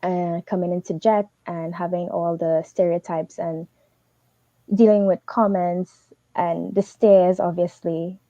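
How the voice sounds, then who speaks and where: A young woman from Trinidad and Tobago, giving fast, earnest advice as a teaching assistant on a livestream. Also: fairly low pitch, level tone, mellow, no echo, dull audio. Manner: fast, earnest, advising directly.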